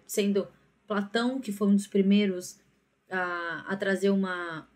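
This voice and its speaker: A young adult woman with a Brazilian accent presents a student project in an academic but semi-spontaneous style. She speaks reflectively and haltingly, piecing her explanation together as she goes. Short tag questions often punctuate her phrases. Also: mid-range voice.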